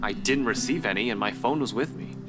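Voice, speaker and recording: A man's voice: medium pitch, brisk, natural voice. An actor playing a serious, exasperated young man in a visual novel game. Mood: accusing. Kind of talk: acted game dialogue. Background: guitar music.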